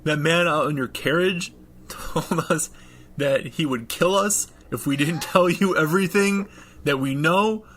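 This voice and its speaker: Man as a scared teenage boy, raised pitch, flustered, halting, clueless, tabletop game character, noisy recording. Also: fairly bright tone.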